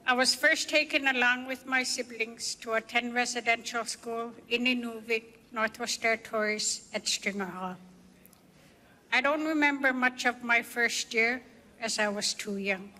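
Slow, bright-voiced older woman in a hall. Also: on the high side. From Canadian Arctic. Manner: slow, measured storytelling. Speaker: guest speaker. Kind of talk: personal testimony speech.

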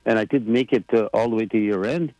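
A man's voice: lowish voice, fast talker, a little mellow, no echo, poor audio. A man in his sixties chatting casually on the radio, rambling with many fillers and restarts. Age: 60s. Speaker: president of a government, radio guest.

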